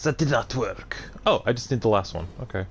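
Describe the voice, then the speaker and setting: A man's voice: medium pitch, animated, a little fast, a little hiss or hum. A man hosting a gaming video with casual let's play commentary.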